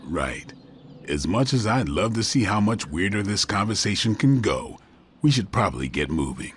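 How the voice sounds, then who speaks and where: An actor playing a cool, gruff vampire hunter with a sardonic, dry manner in a video game. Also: male voice, on the low side, big pitch swings, moderate pace, a little hiss or hum. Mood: dry, teasing.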